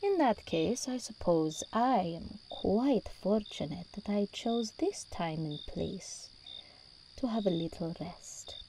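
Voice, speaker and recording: A woman's voice: medium pitch, expressive, takes it slowly, dark tone, slight background noise, rough recording. A woman playing a regal, seductive demon princess in an ASMR roleplay, theatrical and teasing, commanding yet tender with her endearments. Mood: intrigued, teasing.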